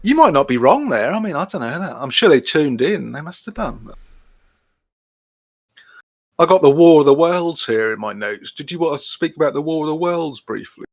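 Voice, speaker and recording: A man's voice: a little high, sing-song, normal speed, dry recording, studio quality. A man chatting casually as a podcast co-host, with informal, loosely hesitant phrasing. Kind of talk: casual chat.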